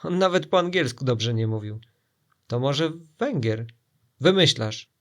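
A man's voice: mid-range voice, lively intonation, unhurried. A man voicing a suspicious, argumentative sailor in a children's adventure story. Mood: bickering. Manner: loud, argumentative. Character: suspicious, bickering male sailors guessing at a spy.

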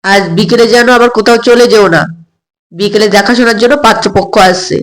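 A worried middle-aged mother played by a woman narrator in an audio story. She scolds insistently in a high, exasperated voice. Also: steady tone, a little fast, close to an everyday voice. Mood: stern reminder.

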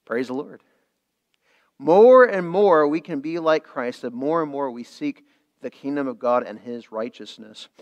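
A man's voice: on the high side, a little slow, dull audio. A male pastor preaching a midweek sermon, earnest, repeating phrases and restarting lines.

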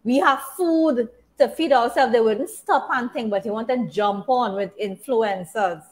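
A woman's voice: a little high, measured pace. A young adult woman talking emphatically in a casual faith discussion on a video call. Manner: emphatic, many short affirmations. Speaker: discussion co-host. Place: indoors, virtual backdrop.

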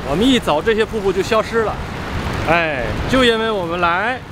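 A man's voice: sits high, animated, fairly quick, very noisy. A man on a trip with friends, teasing them in a mock-serious way outdoors by the water. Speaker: travel companion. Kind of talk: joking banter.

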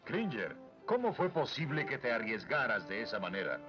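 An actor playing a brave, kindly cartoon hero, confident, with a Latin American accent. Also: male voice, medium pitch, wildly swooping pitch, normal speed. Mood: caring. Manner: confident, heroic. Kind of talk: Latin American Spanish cartoon dub acting. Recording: dull lo-fi dub, loud music behind.

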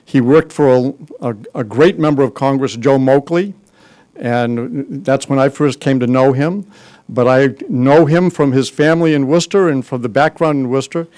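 A man's voice: medium pitch, moderate pace, darkish tone. A man in his sixties with a Boston accent, speaking at a podium in a conference hall, introducing speakers with loose jokes, fillers and restarts. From Boston area, US. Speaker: board member introducing speakers. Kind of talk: informal podium speech. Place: large conference hall, indoors. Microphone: podium microphone.

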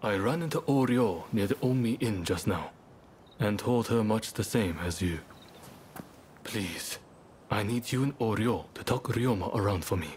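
An actor playing a composed, diplomatic young samurai leader in a video game cutscene, speaking in a measured, polite, thoughtful way. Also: male voice, lowish voice, expressive, darkish tone, slight background noise, natural voice. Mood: earnest, troubled.

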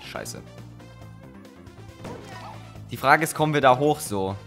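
A man's voice: medium pitch, fast, fairly bright tone. A young man records a let's play with a friend over faint game music. He talks in an everyday male register, casual and jokey. Now and then he slips English phrases into his banter. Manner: joking, sprinkles in English phrases. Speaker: let's player. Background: video game music.

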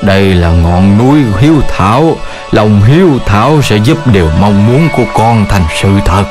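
A man voicing a wise old mouse in a children's cartoon, speaking in a solemn, measured way. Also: lowish voice, animated, natural voice. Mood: kindly solemn. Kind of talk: animated children's cartoon dub. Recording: music under the voice.

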